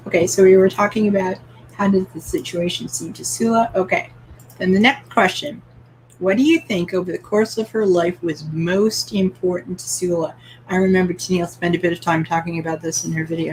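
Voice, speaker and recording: A woman's voice: fairly low pitch, a little mellow, a little hiss or hum, rough recording. A woman from Canada hosting a live-streamed book club, asking open questions and reading viewer comments aloud with light laughter.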